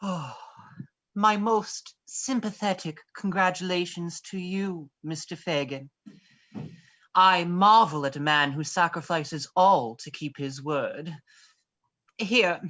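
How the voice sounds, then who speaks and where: A woman playing a smug, scheming gentleman villain in a stage play reading, with florid, mocking formality. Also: fairly low pitch, slow. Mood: mock sympathy. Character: smug, genteel male villain, scheming and sardonic.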